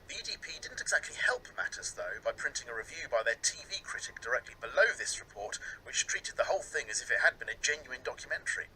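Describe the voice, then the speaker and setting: A man's voice: medium pitch, very animated, fast talker, hardly any pauses, sharp tone, slight background noise, rough recording. A man narrating a radio feature as its reporter and presenter.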